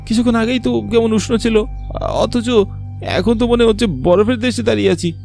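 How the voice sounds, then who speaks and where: A man's voice: sits high, moderate pace, creaky, a little mellow, faint music behind, pitched above the performer's own voice. A man voicing a frightened, bewildered young city man in a horror cartoon, anxiously questioning what is around him. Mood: alarmed, bewildered. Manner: anxious, questioning, talking to himself or mother. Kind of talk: Bengali horror cartoon character acting.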